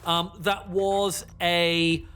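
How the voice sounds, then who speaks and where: A man's voice: sits high, flat delivery, very slow, bright, forceful tone, slight background noise. A man talking informally and explaining as a documentary interviewee.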